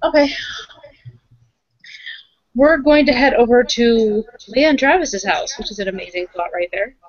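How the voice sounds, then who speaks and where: A woman improvising roleplay conversation, thinking aloud haltingly and often repeating her phrases. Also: a little high, dry recording, muffled recording. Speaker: tabletop roleplaying player and game runner.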